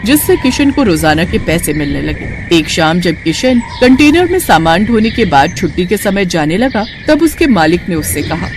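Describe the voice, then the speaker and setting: A woman's voice: on the low side, big pitch swings, normal speed, few pauses, over music. A woman reading scripted narration for a moral cartoon story.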